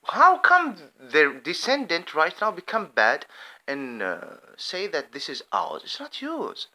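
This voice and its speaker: A man's voice: a little high, huge pitch swings, slow pace, bright, forceful tone. A man giving an impassioned, rambling unscripted monologue to camera. He often restarts his phrases. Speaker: video commentator.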